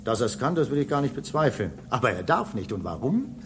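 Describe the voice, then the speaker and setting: An actor playing a talkative, excitable space station technician in a German radio drama, lecturing his colleague in an insistent, teasing way. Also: medium pitch, fairly quick. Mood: insistent, enthused. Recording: clear background noise behind dialogue.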